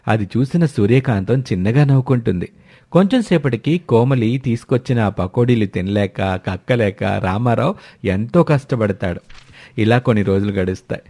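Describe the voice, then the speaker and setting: A man's voice: mid-range voice, darkish tone. A man narrating a scripted moral story as its storyteller.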